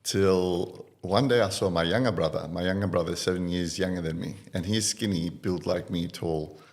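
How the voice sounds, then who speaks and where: Man, from Iraq, very low voice, relaxed storytelling, podcast guest. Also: moderate pace. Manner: relaxed storytelling with fillers and laughs. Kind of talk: casual interview talk.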